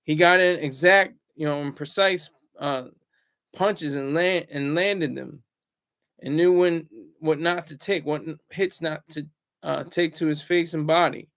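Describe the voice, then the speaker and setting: A man hosting a casual solo commentary vlog, rambling and restarting his words, swinging between loud bursts and low asides. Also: steady tone, measured pace. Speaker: commentary channel host.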